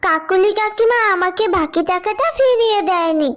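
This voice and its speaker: A woman's voice: very high voice, normal speed, fairly bright tone. A woman voicing a sweet, childlike village girl in a cartoon, whiny and eager, with a pleading manner. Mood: complaining. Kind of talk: cartoon voice acting.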